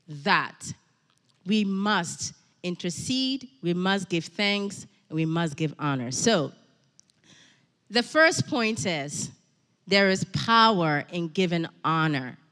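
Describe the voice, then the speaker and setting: A middle-aged woman preaches in an animated, emphatic way, repeating her key lines for effect. Her voice is bright and forward. A long reverb trails after her words, as if she stands in a big church hall. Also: mid-range voice. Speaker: guest preacher. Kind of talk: sermon with personal asides.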